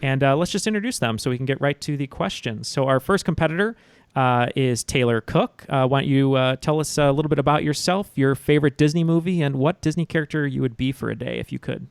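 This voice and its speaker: A man hosts a trivia podcast in a casual, chatty way. His voice is smooth and clear, with lots of "uh" fillers. The sound is dry and close. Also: mid-range voice, quick pace, no echo. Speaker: podcast host. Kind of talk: casual quiz show hosting. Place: home, Chicago.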